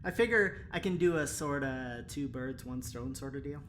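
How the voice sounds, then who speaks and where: A woman playing an eager, boastful male engineer in an audio drama, talking in an animated, hesitant ramble. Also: extremely low pitch, moderate pace, slight background noise. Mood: casual, upbeat.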